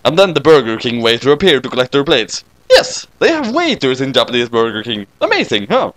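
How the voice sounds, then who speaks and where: Brief: male storyteller, medium pitch, animated comic narration in a dry, close sound. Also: fairly quick, no echo. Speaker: narrator reading fan fiction.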